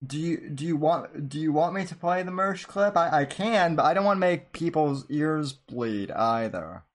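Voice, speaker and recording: A man's voice: fairly high pitch, lively intonation, moderate pace. A man hosting a casual livestream chat, hesitant and often repeating the first words of his sentences. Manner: hesitant, repeats words and stammers over starts. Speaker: talk show host.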